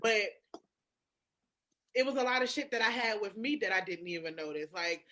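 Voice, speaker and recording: A woman in a candid, casual podcast chat, expressive and slangy, swearing freely. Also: medium pitch, normal speed.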